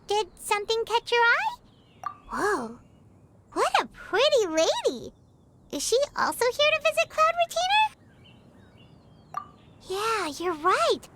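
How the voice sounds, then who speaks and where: Squeaky tiny fairy girl guide. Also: fairly bright tone, a little hiss or hum, full character voice. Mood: curious, eager. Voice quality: squeaky, childlike. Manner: refers to self in third person, bubbly. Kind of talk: animated game voice acting. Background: music.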